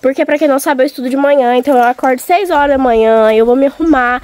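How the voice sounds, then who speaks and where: A young woman, hoarse from a cold, chatting casually and directly to her viewers while walking around a farm outdoors. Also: high voice, fast, a little mellow, no echo. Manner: chatty, talking straight to viewers. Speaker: vlogger. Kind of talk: casual vlog chat.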